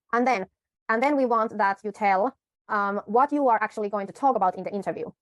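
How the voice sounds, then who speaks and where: A woman coaching viewers through a media pitch in a tutorial, unhurried, pausing and correcting herself often. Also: no echo. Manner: unhurried, with frequent pauses and self-corrections. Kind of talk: tutorial talk to camera.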